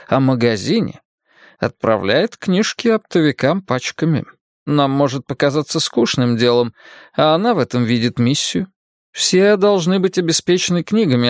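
A man's voice: fairly high pitch, no room sound, studio quality. Man putting on a drawling, ironic spymaster. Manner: drawling and ironic, slow and lofty.

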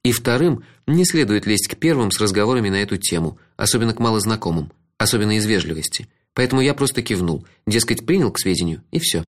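A man reading a first-person literary story aloud in a measured, reflective way. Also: fairly low pitch, expressive. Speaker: audiobook narrator.

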